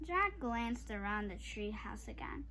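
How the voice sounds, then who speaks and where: A woman reading a children's chapter book aloud, haltingly, stumbling over long words. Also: fairly high pitch, very animated, pushed and bright, a little hiss or hum. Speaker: storybook reader.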